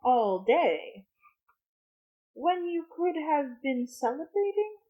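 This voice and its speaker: A woman's voice: sits high, big pitch swings, takes it slowly, voice pushed up, full character voice. A woman voicing a stern older female professor from a storybook, clipped, irritated and disapproving. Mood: impatient. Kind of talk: amateur storybook character reading. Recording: muffled lo-fi audio.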